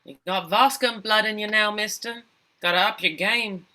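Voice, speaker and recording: Lady doing a tough sassy rat mom from space, comedy read, sharp forceful voice, slangy and pushy. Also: medium pitch, moderate pace, natural voice. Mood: brash, needling. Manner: brash, slangy, challenging. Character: tough, sassy alien mother rat, hard-bargaining. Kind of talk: animated comedy script table read.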